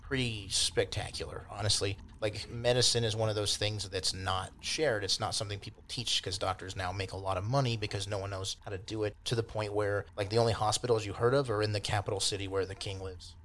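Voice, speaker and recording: A man's voice: on the low side, steady tone, brisk, hardly any pauses, fairly bright tone. A man narrating casually as a game master, explaining things in an accommodating way over background noise. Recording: constant clear background noise.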